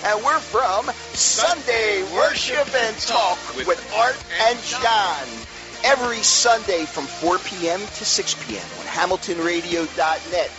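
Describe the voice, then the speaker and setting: A male radio host with a high voice reads a scripted promo. Music plays behind him and the audio is dull and lo-fi. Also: measured pace.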